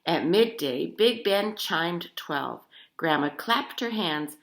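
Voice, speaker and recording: A woman's voice: on the low side, slow. A woman reading a children's picture book aloud expressively, talking to young listeners as she goes. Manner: animated, addresses child listeners with questions. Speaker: storybook reader.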